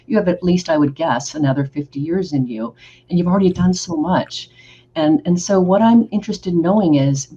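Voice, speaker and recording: A woman's voice: deep voice, slightly rough. Effusive woman hosting a podcast interview. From Oregon, United States. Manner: enthusiastic, effusive and warm in her questions. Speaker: podcast host. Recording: slightly dull recording with faint background noise.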